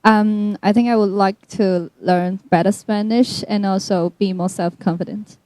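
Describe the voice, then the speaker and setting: A woman's voice: mid-range voice, steady tone, unhurried, a little mellow, no echo. A woman from Hong Kong introducing herself hesitantly at a workshop. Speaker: workshop participant.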